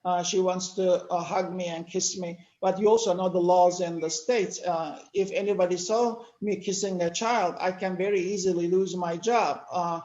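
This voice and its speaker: An older man from Turkey, speaking natively, giving a measured lecture-style talk with many pauses. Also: high-pitched, steady tone, darkish tone, reverberant, dull audio. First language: Turkish. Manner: measured, explanatory, with frequent "uh" pauses. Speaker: panel speaker. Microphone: video call.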